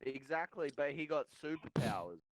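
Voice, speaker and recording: A man with a slightly high voice talks casually among friends as a podcast regular. He is insistent and argumentative, and swears freely as he pushes his point. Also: expressive, moderate pace, slight background noise.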